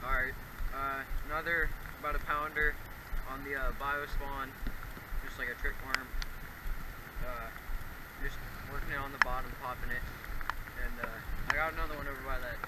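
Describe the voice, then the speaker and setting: A man's voice: mid-range voice, flat delivery, moderate pace, pauses often. A young American man talking casually about his fishing, caught on a friend's camera outdoors. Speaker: angler in a fishing vlog. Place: outdoors beside a pond. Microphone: friend's camera a few feet away. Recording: very loud outdoor noise on camera.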